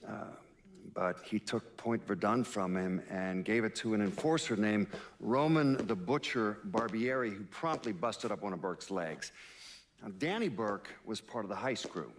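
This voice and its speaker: A witness in a documentary-style game cutscene. His voice is low and he explains things plainly and informally, with faint noise behind. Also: animated, a little slow. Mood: matter-of-fact. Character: matter-of-fact male witness recounting crime history.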